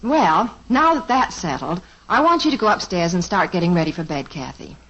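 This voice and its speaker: Teasing mother, lively, animated voice, lo-fi radio. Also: on the low side, normal speed, breathy edge, exaggerated voice. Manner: dry, teasing. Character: patient, teasing middle-aged mother and wife. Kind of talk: 1950s radio sitcom acting. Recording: dull lo-fi old radio transcription.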